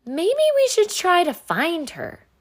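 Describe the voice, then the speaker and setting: Storybook schoolkids, played by a woman: young, eager, exclaiming, pitched high with lively swings. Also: slow pace, full character voice. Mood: worried. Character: excitable group of young schoolchildren, boys and girls.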